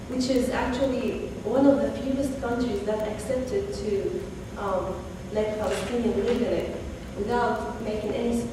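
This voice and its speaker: A woman in her late twenties from Syria, a native Arabic speaker with a Syrian accent, giving a halting, informal personal testimony as a guest speaker. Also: medium pitch. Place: large reverberant indoor room. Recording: very echoey hall, distant mic, noisy.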